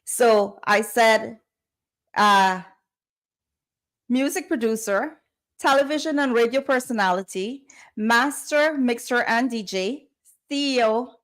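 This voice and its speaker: A woman's voice: a little high, takes it slowly, pauses often, fairly bright tone. A woman hosting a conversational interview. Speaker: interview host.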